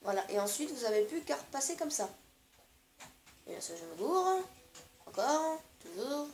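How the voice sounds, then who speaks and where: A woman's voice: high voice, very animated, moderate pace, darkish tone, roomy sound. A woman with a cold, giving hesitant step-by-step building instructions over gameplay. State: stuffy with a cold. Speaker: gaming video creator.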